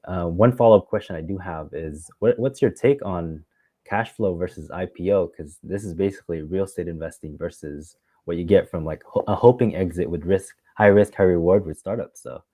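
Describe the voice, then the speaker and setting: A man hosting a conversational interview, putting his questions in halting, hesitant phrases. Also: low voice, warm tone, no echo. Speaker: interviewer, show host.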